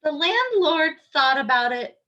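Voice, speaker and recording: Animated, bright-voiced woman reading to children. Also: sits high, unhurried, muffled recording. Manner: expressive, simple child-directed explaining, emphatic, asks listeners questions. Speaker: read-aloud storyteller for young children. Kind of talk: picture-book read-aloud with teacherly asides and questions.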